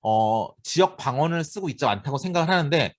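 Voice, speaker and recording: Lively Seoul-accented male lecturer. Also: on the high side, fast. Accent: Seoul Korean. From Seoul, South Korea. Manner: lively, talkative, addresses students directly with rhetorical questions. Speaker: university lecturer. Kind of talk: classroom lecture.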